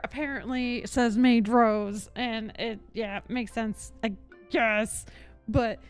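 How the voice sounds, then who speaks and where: High-voiced giggly woman streaming. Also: clear, resonant tone. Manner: giggly, rambling. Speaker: live streamer. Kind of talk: casual gaming stream chat. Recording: background game music.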